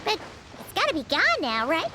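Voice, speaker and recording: A woman voicing a tiny, childlike fairy companion in a video game, squeaky, cheerful, excitable and quick to take offence. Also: heavy background noise, exaggerated voice. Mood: chatty, nostalgic. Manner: refers to self in third person, exclamatory. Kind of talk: animated video game voice acting.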